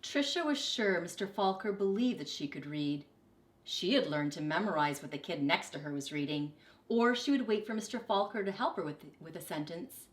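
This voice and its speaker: A woman reading a children's story aloud expressively, with deliberate, dramatic emphasis. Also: on the low side, lively intonation, reverberant. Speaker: storybook reader, teacher.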